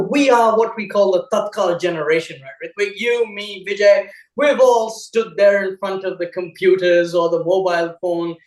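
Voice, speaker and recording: Australian-accented man, animated and teasing, echoey room, set back from the mic. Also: high voice, recorded from a distance. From India. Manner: animated, teasing, repeats words when restarting. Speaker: co-host. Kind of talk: casual chat.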